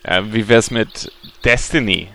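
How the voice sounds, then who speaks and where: A man's voice: fairly low pitch, measured pace, creaky, pushed and bright, slight background noise, heavily acted, rough recording. A man playing a dry, self-serving rogue in a comic audio drama. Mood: opinionated.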